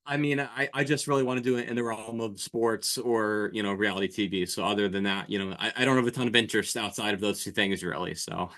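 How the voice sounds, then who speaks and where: Young guy on a podcast, talks fast, um-ing and restarting a lot, kinda low voice. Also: steady tone, fairly bright tone. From New Jersey. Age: 20s. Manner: hesitant, many fillers and restarts. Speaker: podcast guest. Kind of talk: casual chat.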